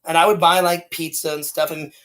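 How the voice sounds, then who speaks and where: Man, thirties, loud, energetic, rambling, casual co-host, slightly high voice. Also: a little fast. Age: 30s. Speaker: podcast co-host. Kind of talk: casual chat.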